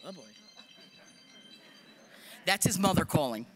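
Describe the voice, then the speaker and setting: A man's voice: high-pitched, animated, measured pace, a bit bright, slightly rough, faint music behind. A man giving a formal introduction of a commencement speaker, with light joking asides. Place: large hall stage at podium. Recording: long hall reverb.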